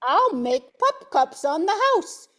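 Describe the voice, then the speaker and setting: A woman's voice: sits high, very animated, slow pace, a bit bright, full character voice. A woman playing a cheerful, generous ice cream vendor calling out to customers in an amateur radio play. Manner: calling out wares.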